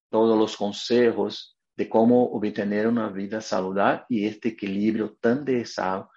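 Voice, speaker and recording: A naturopath from Brazil, speaking Spanish with a Brazilian Portuguese accent as the guest in a video interview, earnest and motivational in short emphatic phrases. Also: male voice, on the low side, a little mellow, lo-fi sound.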